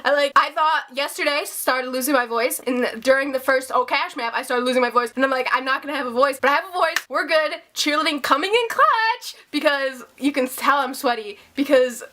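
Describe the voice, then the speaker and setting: A woman fan vlogging from her bedroom into a handheld camera, gushing excitedly and swearing freely. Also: high voice, brisk, hardly any pauses. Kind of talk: casual reaction vlog. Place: hot bedroom at home.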